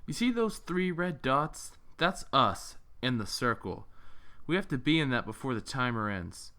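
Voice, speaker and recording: Casting: man as battle-tested young gun enthusiast, confident, animated, forceful bright voice, amateur audio fiction. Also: medium pitch, a little slow, heavily acted. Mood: confident, instructive. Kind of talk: amateur audio drama dialogue.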